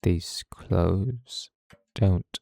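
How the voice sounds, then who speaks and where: Man acting a slow, gravelly, brooding alien time traveller. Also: deep voice, warm tone, heavily acted. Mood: accusing, troubled. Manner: slow, halting, deliberate, portentous. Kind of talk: dramatic fan audio monologue.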